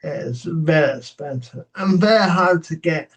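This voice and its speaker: A man hosting an interview, speaking slowly and sympathetically, with frequent short affirmations. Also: on the high side, slightly rough. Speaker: host. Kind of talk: interview conversation. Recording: distant, slightly muffled mic.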